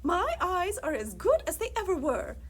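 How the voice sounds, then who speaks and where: Storybook role: woman as elderly ex-actress, high and animated, fussy, theatrically ominous. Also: slight background noise, heavily acted. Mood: triumphant. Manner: theatrical, ominous, drawn-out words. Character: dramatic elderly former actress, triumphant and fussy.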